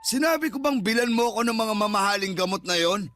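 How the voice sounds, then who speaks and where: A Filipino man playing a stubborn, irritable, ailing middle-aged father in a radio drama, gruff and confrontational. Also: high-pitched, animated, quick pace, a bit bright. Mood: irritated. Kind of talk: Tagalog radio drama acting. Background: background music.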